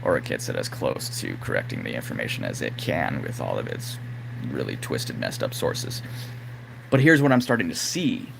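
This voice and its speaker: A man whose voice is going hoarse, talking casually and rambling to his live-stream audience with plenty of fillers. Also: very deep voice, huge pitch swings, moderate pace, noisy background. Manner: rambling, lots of fillers like "like" and "you know". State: losing his voice, throat-clearing. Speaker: livestream host.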